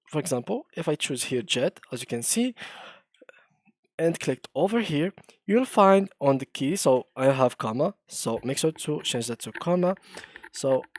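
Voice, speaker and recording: A man's voice: on the high side, dry recording. A man hosting a screen-recorded game tutorial, talking casually with frequent fillers and restarts. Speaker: tutorial host.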